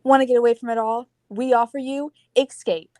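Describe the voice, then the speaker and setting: Fairly high-voiced woman radio announcer. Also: steady tone, a little fast, a little mellow, slight background noise. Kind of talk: scripted 1940s radio announcing.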